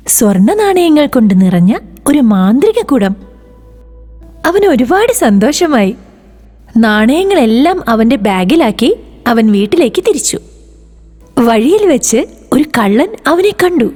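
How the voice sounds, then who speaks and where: High, lively, husky woman narrator. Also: measured pace, pauses often, faint music behind. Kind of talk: storybook narration for children.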